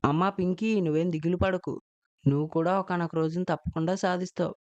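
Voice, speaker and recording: A man's voice: high-pitched, steady tone, a little fast, highs cut off, natural voice. A man voicing a kind, reassuring father in a cartoon story.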